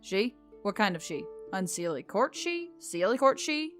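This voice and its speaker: A woman voicing a wry, weary male boss of a magical tech office in an audiobook story, speaking in a measured, murmuring way. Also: mid-range voice, big pitch swings, fairly bright tone, faint music behind. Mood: probing. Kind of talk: audiobook character dialogue.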